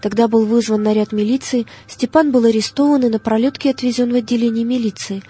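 A woman reading a scripted biographical audiobook in a measured, solemn manner. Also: a little high, steady tone, mellow, husky. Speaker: narrator.

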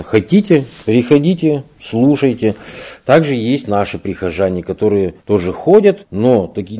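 A man working as a parish catechist, answering interview questions in an unhurried, explanatory way with frequent hesitations. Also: mid-range voice, mellow, husky. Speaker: church catechist. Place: parish room.

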